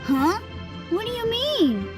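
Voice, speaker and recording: An eager little street boy with a very high, childlike voice. He talks earnestly and a bit boastfully, like a small would-be hero in a video game, with music clearly behind him. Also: huge pitch swings, slow, exaggerated voice. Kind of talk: video game character acting.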